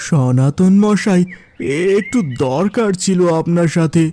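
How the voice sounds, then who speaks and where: A man's voice: high-pitched, expressive, slow pace, mellow, slight background noise. A man voicing a poor, anxious old father in a cartoon folk tale, humble and beseeching. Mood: pleading. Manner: deferential, beseeching. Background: music.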